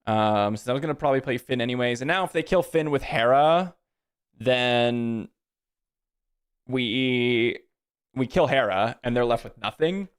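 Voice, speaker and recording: A man's voice: mid-range voice, unhurried, smooth. A man in his thirties streaming a card game, casually chatting with viewers and thinking aloud about his plays. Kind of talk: casual live-stream chat while playing.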